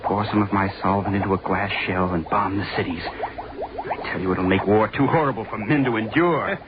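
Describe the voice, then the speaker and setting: An actor playing a young, obsessive, unhinged college student scientist in vintage radio horror, muttering and repeating himself feverishly. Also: male voice, fairly low pitch, moderate pace, close to an everyday voice. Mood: feverish, menacing. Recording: dull, noisy vintage radio recording.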